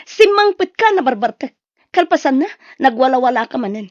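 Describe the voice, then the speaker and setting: A woman's voice: sits high, very animated, a little fast, dry recording, highs cut off. A woman with an Ilocano accent playing a long-suffering, fed-up wife of a drunkard in a radio-style drama. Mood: scolding.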